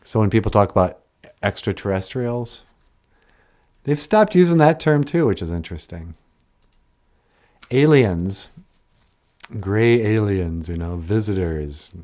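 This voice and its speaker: A man's voice: low voice, expressive, unhurried, long pauses, a little mellow. A man chatting on a livestream and answering his chat in a rambling way, often trailing off and correcting himself mid-sentence. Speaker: live streamer answering chat.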